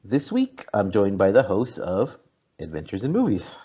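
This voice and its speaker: A man's voice: on the low side, huge pitch swings, normal speed, dark tone, slightly rough. A man hosting a podcast, talking casually as he leads a film review discussion. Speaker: podcast host. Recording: dull, lo-fi podcast audio.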